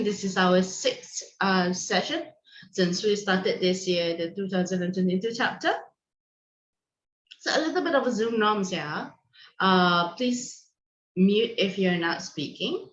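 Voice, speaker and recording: A woman's voice: mid-range voice, expressive, a little slow, pauses often. A woman from Malaysia hosting an online teacher meeting on a video call, giving friendly instructions with frequent ums and restarts. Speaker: online session host and co-founder. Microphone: video call microphone. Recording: dull lo-fi echoey call audio.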